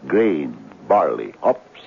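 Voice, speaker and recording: An actor playing a garrulous, philosophizing Irish barfly friend in a theatrical 1970s radio drama, teasing and grandiloquent with an Irish accent. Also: male voice, very deep voice, sing-song, creaky, heavily acted. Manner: grandiloquent, theatrical, drawn-out storytelling. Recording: tinny, narrow, noisy old radio recording.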